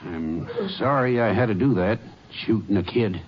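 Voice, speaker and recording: An actor in a 1950s radio drama playing a folksy small-town sheriff who talks in a plain drawl. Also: male voice, lowish voice, moderate pace, natural voice. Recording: lo-fi old radio recording with hiss.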